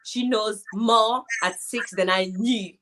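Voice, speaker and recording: Bright-voiced Haitian woman, thoughtful. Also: medium pitch, steady tone, slight background noise. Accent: Haitian French. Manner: thoughtful, measured, laughs easily. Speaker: guest. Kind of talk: casual interview chat. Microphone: laptop live stream.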